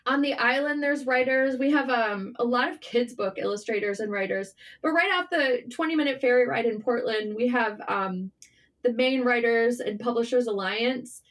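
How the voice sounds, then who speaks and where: High-voiced woman, candid and animated. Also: normal speed. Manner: animated, informal, swearing, self-deprecating. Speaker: guest, writer and journalist. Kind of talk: casual, candid interview chat.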